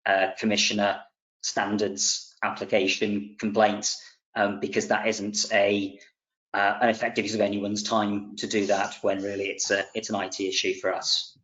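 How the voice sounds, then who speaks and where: Halting man on a dull call line. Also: on the low side, level tone, a bit bright. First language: Welsh speaker. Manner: halting, many restarts and fillers. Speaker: council committee member. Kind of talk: remote council meeting discussion. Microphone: video call. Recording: dull lo-fi remote call audio.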